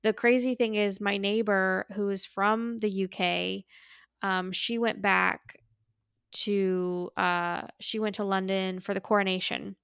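A woman chats casually as a podcast co-host, with a noticeable creak in her voice. The sound is close and dry, and she tells personal anecdotes in a conversational way. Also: mid-range voice, fairly even intonation, slow pace, fairly bright tone, no room sound. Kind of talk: casual chat, personal storytelling.

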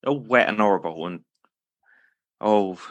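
A man's voice: lowish voice, moderate pace, muffled recording. A man in his thirties co-hosting a podcast, ranting in exasperation and swearing often. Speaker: podcast co-host. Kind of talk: casual banter.

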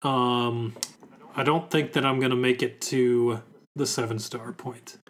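A young man co-hosting a podcast in casual chat, slightly hoarse, laid-back and joking. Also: mid-range voice, steady tone, unhurried, fairly bright tone. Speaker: podcast co-host.

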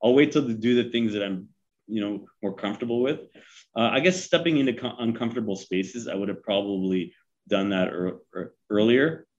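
A man's voice: fairly low pitch, a little fast, a little mellow, dull audio. A man in his forties, from Sri Lanka, giving advice in a casual interview, talking with many fillers and restarts. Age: 40s. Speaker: guest.

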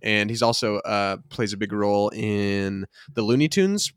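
A man's voice: fairly low pitch, clean tone, dry recording. Chatty young man on a dry mic. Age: 20s. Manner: quick, chatty, frequent fillers. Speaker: podcast co-host. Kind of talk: casual chat.

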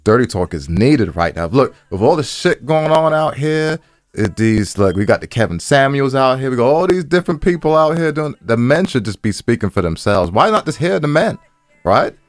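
A man's voice: mid-range voice, big pitch swings, moderate pace, dry recording. A man co-hosting a podcast in casual, slangy talk, repeating phrases for emphasis, with music behind him. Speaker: podcast co-host.

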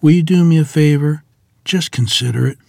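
A man's voice: fairly high pitch, brisk, creaky, no echo, clean studio sound. A man playing a courteous, devout rural piano tuner in a period table read, deferential and folksy. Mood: earnest, persuasive. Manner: deferential, folksy, gently persuasive.

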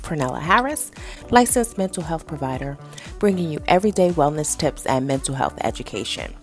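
A woman hosting a mental health podcast, a little raspy and nasal from a head cold, teaching in an upbeat, encouraging way. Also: low-pitched, fairly bright tone. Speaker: podcast host. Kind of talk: podcast education talk.

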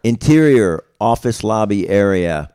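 A man's voice: on the low side, very animated, unhurried, mellow, smooth. A man talking casually as a comedy writer guesting on a podcast. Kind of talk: casual chat and reading stage directions.

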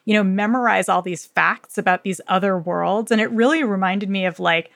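A woman's voice: medium pitch, normal speed, gravelly, a bit bright, no echo, studio quality. A woman co-hosting a podcast, chatting casually and effusively in colloquial, slangy language as she recommends books. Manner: enthusiastic, effusive. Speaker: podcast co-host. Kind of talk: casual book recommendation chat.

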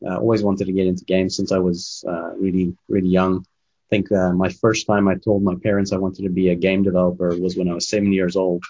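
Deep husky guy, first language afrikaans, rambles through his story with lots of ums, dull lo-fi sound. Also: flat delivery, brisk, warm tone. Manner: rambling storyteller with many fillers. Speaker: guest, game development manager and coach. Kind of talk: casual interview, personal story.

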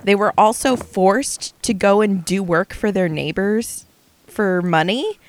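A woman's voice: medium pitch, takes it slowly, a little hiss or hum. A young adult woman co-hosting a casual true-crime podcast, recounting a case with chatty asides. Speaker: podcast co-host.

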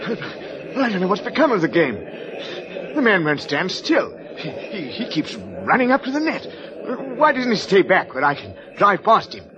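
An actor playing a fussy, genteel elderly ghost who was once a tennis champion, in a 1940s radio drama. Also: male voice, high-pitched, huge pitch swings, normal speed. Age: older. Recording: muffled old radio broadcast with crowd.

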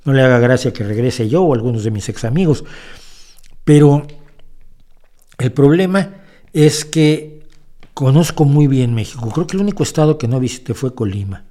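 A man's voice: mid-range voice, moderate pace, pauses often, mellow. A man hosting a show, answering viewer questions in a casual, rambling monologue full of restarts and emphatic opinions. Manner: rambling, with frequent restarts and self-corrections.

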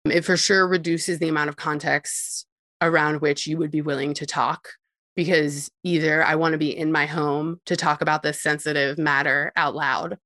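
Low-voiced woman explaining tech ideas. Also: flat delivery, normal speed, bright, forceful tone, dry recording, clean studio sound. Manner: explanatory, with frequent light laughs. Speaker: guest expert on voice interfaces. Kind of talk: conversational interview answers.

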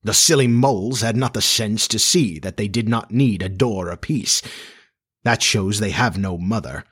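A man voicing a brooding, vengeful pirate captain in a storybook reading, slow and dramatic in manner. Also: on the low side, fairly bright tone, breathy edge. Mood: gloating, sly. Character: menacing, brooding pirate captain with a haunted dread.

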